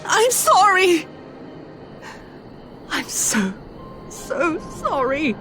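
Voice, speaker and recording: A fragile, remorseful fantasy heroine. She speaks very slowly in a high, halting voice that swings in pitch, with music clearly behind her. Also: big pitch swings, exaggerated voice. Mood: tearful remorse. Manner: halting, fragile, breathy apologies. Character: frightened, remorseful woman without memory. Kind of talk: video game voice acting.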